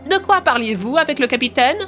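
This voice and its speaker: A woman's voice: sits high, expressive, fairly quick, a bit bright, soft background music. A woman playing a nosy, pushy newspaper journalist in an audio drama, questioning people with formal politeness. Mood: prying.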